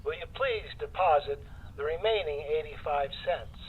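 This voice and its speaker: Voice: mid-range voice, normal speed. An actor playing an impassive, formal long-distance operator in a radio play, clipped and polite, through a telephone filter. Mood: impassive, businesslike. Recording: noisy, tinny phone line with clicks.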